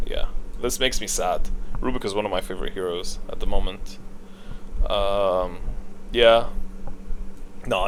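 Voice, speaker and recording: A man's voice: mid-range voice, fairly bright tone, a little hiss or hum. A man giving casual off-the-cuff gaming commentary, thinking aloud, hesitating and backtracking as he ranks things. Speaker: gaming video creator ranking voice lines.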